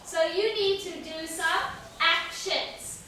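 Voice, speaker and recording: A woman teacher with a very high, bright voice addresses a class of children in simple, repeated phrases. She speaks slowly, and the room echoes heavily around her. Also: steady tone. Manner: simple, repetitive phrasing with questions to pupils. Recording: very echoey room, distant mic, faint noise.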